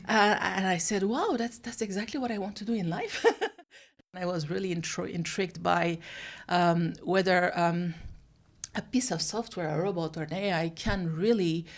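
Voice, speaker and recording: A woman's voice: fairly low pitch, normal speed. A woman from France, a professor answering interview questions conversationally. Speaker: professor, guest.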